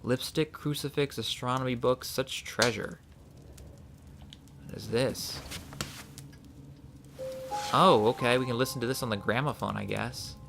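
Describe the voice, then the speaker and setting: A man casually commenting on a game as he plays, thinking aloud and reading the notes he finds out loud. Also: medium pitch, steady tone, unhurried, gravelly, pauses often, over music. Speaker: let's play host.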